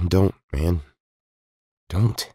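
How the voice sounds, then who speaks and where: A very low, dry voice carries this weary young resistance fighter , acted by a man. He is earnest and grave, speaking in measured phrases as in an audio drama. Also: dark tone, husky, no room sound, full character voice. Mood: earnest, reassuring.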